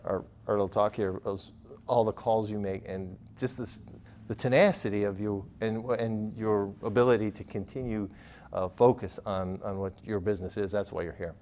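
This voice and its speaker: A man's voice: on the low side, a little mellow. A middle-aged American man in his fifties, interviewing a guest conversationally as host of a business show. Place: TV studio.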